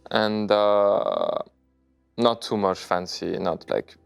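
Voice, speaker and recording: Guest, 30s man from China, very low gravelly voice, smooth, hesitant phrasing, soft music under. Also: big pitch swings. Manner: halting, searching for English words. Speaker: interview guest, poker player. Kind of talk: casual interview answers.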